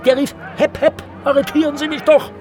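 A man's voice: very high voice, flat delivery, brisk, darkish tone, soft background music, some chatter behind. An actor playing a deferential, wordy traveller recounting his grievances meticulously to a superior in a German radio play. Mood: agitated recounting.

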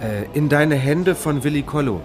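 A man's voice: fairly high pitch, moderate pace, noisy background, close to an everyday voice. A man playing a shy, awkward young bank robber in a radio play, speaking hesitantly with small stammers, music behind him. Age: young adult. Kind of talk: radio drama acting.

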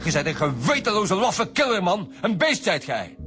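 A stern Flemish chief detective in a radio play. His voice is on the high side and very animated, with blunt, sarcastic, scornful delivery. Prominent music plays behind him. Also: moderate pace. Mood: angry, accusing. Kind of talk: radio drama dialogue.

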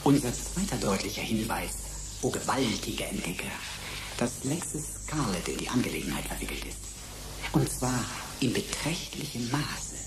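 A man's voice: medium pitch, sing-song, moderate pace, reverberant, very noisy. A man playing a sarcastic computer sidekick who talks in pompous mock-courtly flourishes in a German sci-fi radio play. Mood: pompous, lecturing. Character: mocking, pompous robotic computer sidekick of a detective.

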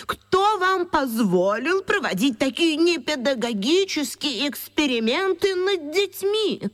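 A woman's voice: high voice, very animated, a little slow, fairly bright tone, full character voice. An actress playing a stern, pompous, indignant elderly woman with a lapdog in a children's radio play.

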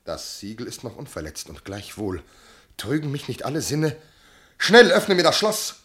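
An actor playing a jealous, tormented noble commander and husband in classical verse radio drama, declaiming intensely. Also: male voice, medium pitch, huge pitch swings, normal speed, husky, full character voice. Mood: alarmed. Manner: intense declamation, from hushed to outbursts.

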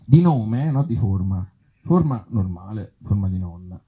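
A man from Italy reading absurd short comic stories aloud to a live gathering, with deadpan humour and offhand, hesitant asides. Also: lowish voice, moderate pace, breathy edge, phone-quality sound. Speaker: author and actor reading his comic tales at a live event.

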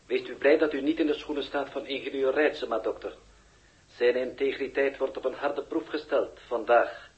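Man acting cool project official, medium pitch, formal, measured, dull vintage radio sound. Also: fairly even intonation, lightly acted. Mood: cool, calculating. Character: measured, calculating male official behind secret project. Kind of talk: 1960s Dutch radio drama acting. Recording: dull, band-limited 1960s radio recording.

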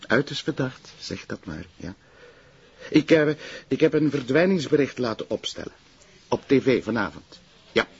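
An actor playing a calm, courteous police detective with a Flemish accent, speaking in a measured way in a television crime drama. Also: male voice, mid-range voice, husky, highs cut off. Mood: deferential.

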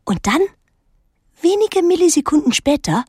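An actress playing a reflective eighteen-year-old daughter who tells her own story in a measured, literary radio drama style. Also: high voice, big pitch swings, darkish tone, no echo, clean studio sound. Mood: absorbed, puzzled.

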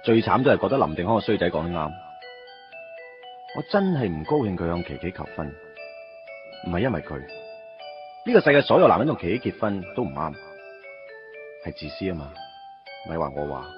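A man's voice: low-pitched, very animated, a little fast, pauses often, over music. A man playing a young man torn over his first love, narrating his thoughts wryly in a radio drama. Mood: brooding. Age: young adult. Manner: wry inner monologue. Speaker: lead character and narrator. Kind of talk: Cantonese radio drama acting. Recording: dull lo-fi radio recording.

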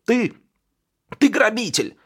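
Man putting on a shrill, outraged middle-aged woman. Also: big pitch swings, slow pace, a bit bright, full character voice. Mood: hysterical. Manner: screaming, outraged, scolding.

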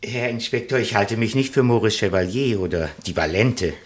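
A man's voice: fairly low pitch, expressive, moderate pace, close to an everyday voice. An actor playing a meek, sentimental man caught in bureaucracy, earnest and deferential, in a 1960s radio comedy. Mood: wistful, deferential.

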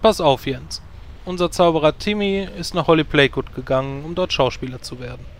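An actor playing a guild leader who dismissively turns down a recruit in a matter-of-fact tone. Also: male voice, on the high side, fairly quick, close to an everyday voice. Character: blunt male guild leader judging recruits.

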